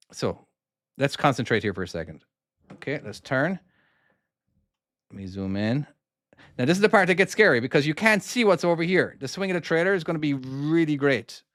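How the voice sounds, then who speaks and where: A man's voice: on the high side, fairly bright tone, dry recording, studio quality. Irish man giving casual gameplay commentary. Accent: Irish (Cork). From Ireland (Cork). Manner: casual, talks himself through each maneuver and answers chat questions. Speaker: game developer streaming his own game.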